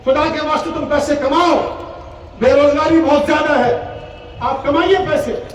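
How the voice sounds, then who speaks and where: Male cleric, public religious oration, emphatic repetition, exhortatory, very high pitch, distant echoey sound. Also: moderate pace. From Poonch, Jammu and Kashmir, India. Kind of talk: impassioned Urdu public oration at a religious gathering. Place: large gathering, speaking from a stage. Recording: echoey public address, distant, faint noise.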